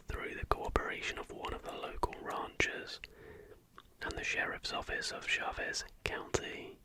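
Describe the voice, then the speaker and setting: ASMR narrator needed: fully whispered, breathy delivery of scripted factual material in short measured phrases. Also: extremely high pitch, rough recording. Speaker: ASMR video host.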